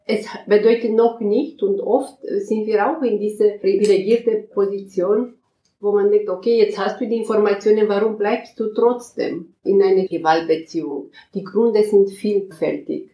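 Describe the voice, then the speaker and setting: A woman counselor answering interview questions in a halting way, often searching for words and restarting sentences. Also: mid-range voice, fairly even intonation, warm tone, roomy sound, a little hiss or hum, poor audio. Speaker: counselor at a migrant women's advice center.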